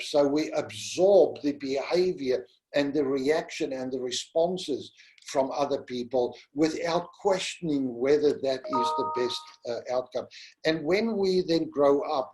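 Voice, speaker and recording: A middle-aged man with a South African accent, first language Afrikaans, giving a gentle, pastoral webinar talk. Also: medium pitch, poor audio. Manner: gentle and pastoral, addresses listeners as "my friend". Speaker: webinar presenter. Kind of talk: slow, earnest teaching talk.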